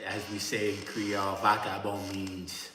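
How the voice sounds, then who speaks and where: A man's voice: lowish voice, completely flat delivery, unhurried, background noise. A man from Miami with a Miami accent, chatting casually and profanely to his livestream viewers from an indoor room. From Miami, Florida. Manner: loose, slangy and profane, talking straight to chat. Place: indoor room, seated in a creaky chair. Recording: roomy echoey room with long reverb.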